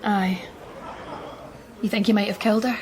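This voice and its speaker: An actress playing a curious, concerned Scottish police detective in a naturalistic radio drama, asking questions in an earnest, probing way. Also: female voice, medium pitch, steady tone, normal speed, a little mellow, some chatter behind, slight background noise, lightly acted. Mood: fascinated, probing.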